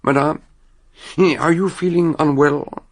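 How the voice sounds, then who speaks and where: Gravelly, musing older British gentleman detective. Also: fairly high pitch, fairly even intonation, takes it slowly. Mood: musing, probing. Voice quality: gravelly, husky. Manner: courteous, hesitant, musing with ers. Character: eminent, ponderous, shrewd gentleman detective and storyteller. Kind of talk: radio drama acting and narration.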